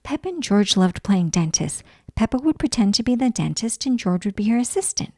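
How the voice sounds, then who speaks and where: A woman reading a children's story aloud as an expressive narrator. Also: on the high side, fairly quick, dry recording, studio quality.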